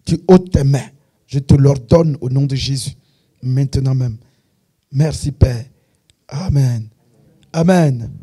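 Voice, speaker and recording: A man's voice: mid-range voice, slow pace, long pauses, mellow, husky. A man leading a deliverance prayer, commanding in tone.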